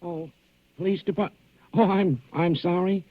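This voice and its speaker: An actor playing a furtive intruder in a 1940s radio thriller, falsely calm and apologetic on the telephone. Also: male voice, high-pitched, a little slow. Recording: tinny, narrow vintage radio sound.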